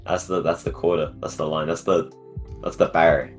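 Super deep voiced dude hosting a chill podcast, jokey, stumbles a lot. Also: male voice, fairly even intonation, faint music behind. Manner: joking, self-deprecating, halting. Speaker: podcast host. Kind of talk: casual chat.

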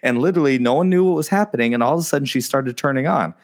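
A man hosting a podcast live stream in casual, excitable chat, rambling with lots of fillers. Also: mid-range voice, rapid-fire. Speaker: podcast host.

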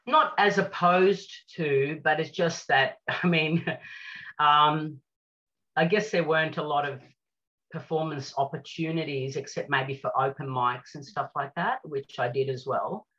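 Bright, chatty woman on a lo-fi call. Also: deep voice, measured pace. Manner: chatty, rambling with many fillers. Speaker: guest artist and cabaret singer. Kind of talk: casual video-call interview chat. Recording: lo-fi lockdown call audio.

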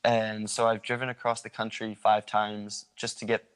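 A young man from the Philadelphia area talking informally as a guest, relaxed, with many ums. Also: fairly low pitch, normal speed, clean tone. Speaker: guest sharing a meet-people project. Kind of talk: casual interview chat.

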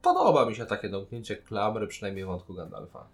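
A man's voice: fairly low pitch, wildly swooping pitch, brisk, faint music behind. A man co-hosting a podcast, casually talking through and arguing his point of view. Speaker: podcast co-host reviewing a TV series. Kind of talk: casual discussion.

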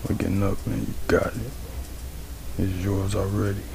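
19 yr old guy, low flat voice, slangy freestyle rambling, noisy background. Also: vocal fry. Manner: slangy, profane, slow reflective talk, drops into whispers. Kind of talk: freestyle musing monologue.

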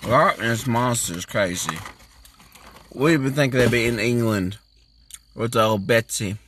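A man's voice: mid-range voice, unhurried, a bit bright, slight background noise. A man co-hosting a casual, boozy podcast chat, joking around loosely and swearing. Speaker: podcast co-host.

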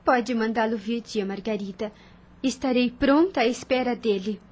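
A woman's voice: fairly high pitch, moderate pace, a little mellow, close to an everyday voice. A woman playing an anxious, dutiful young orphaned niece in a period radio soap, speaking formally and earnestly. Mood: resigned, questioning. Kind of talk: radio soap opera acting.

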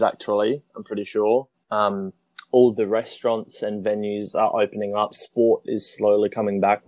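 A young Australian man chatting casually as a podcast guest, relaxed and hesitant, with lots of "um". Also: fairly low pitch, no echo. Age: young adult. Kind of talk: casual chat.

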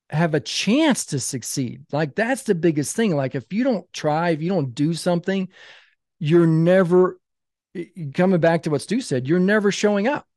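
A man in his fifties, a podcast guest and author, talking in an animated, emphatic storytelling way. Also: fairly high pitch, no echo, clean studio sound. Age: 50s. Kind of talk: casual podcast conversation.

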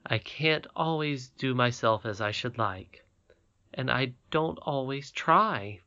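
A young princess girl, earnest and raised high, as a man puts her on. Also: expressive, slow, bright, forceful tone, no room sound, lo-fi sound, full character voice. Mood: pleading.

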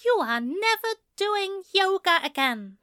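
A woman's voice: very high voice, big pitch swings, unhurried, sharp tone, heavily acted. A woman voicing a fussy, prim male angel bookseller in an audio fan fiction drama, with wry, precise phrasing. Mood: indignant, sulky.

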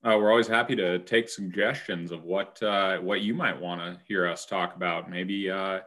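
Man, low voice, bright forceful tone, dry wit, podcast co-host. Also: steady tone, moderate pace. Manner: dry self-deprecating humor. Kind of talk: casual podcast chat.

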